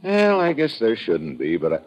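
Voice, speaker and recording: An actor playing a tough, wisecracking American adventurer, clipped and sardonic, in hardboiled old-time radio style. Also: male voice, lowish voice, huge pitch swings, moderate pace, a little mellow. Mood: wry, guarded. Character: tough, wisecracking male adventurer narrating his dangerous assignment. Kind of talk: 1950s hardboiled radio acting. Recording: dull vintage radio recording.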